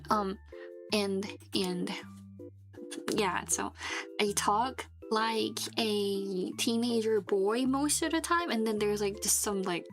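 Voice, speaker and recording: A woman's voice: medium pitch, slow. Sick woman rambling on a stream. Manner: rambling, many restarts, frequent laughs. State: sick with a fever, dry throat. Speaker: vtuber streamer. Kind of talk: casual live stream chat. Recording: background music under the voice.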